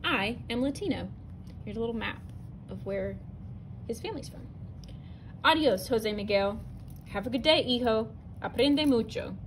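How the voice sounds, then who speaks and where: A woman teacher reads a picture book aloud to her students, her voice a touch high and her delivery clear and expressive. She stumbles and restarts now and then. A faint hiss of room noise sits under the recording. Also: rough recording. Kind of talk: reading a narrative poem aloud to students. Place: indoors, at a table over an open book.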